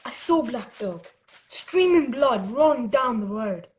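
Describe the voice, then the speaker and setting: A woman's voice: on the high side, lively intonation, a little slow, heavy background noise. A woman playing a polite, earnest young boy who narrates his adventure in a radio play. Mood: tense storytelling.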